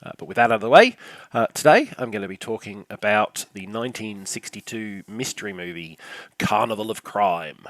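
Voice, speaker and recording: An older man hosting a film review show, a little croaky from illness, speaking with dry sarcasm and frequent filler sounds. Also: on the low side, animated, a little fast, fairly bright tone, no room sound. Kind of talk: scripted review narration.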